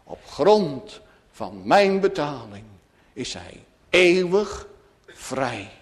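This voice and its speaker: A man preaching a sermon, solemn and pleading, swinging dramatically from hushed words to crying out. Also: on the high side, very animated, drawn-out words. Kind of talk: emotional Reformed church sermon. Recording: long church-like hall reverb.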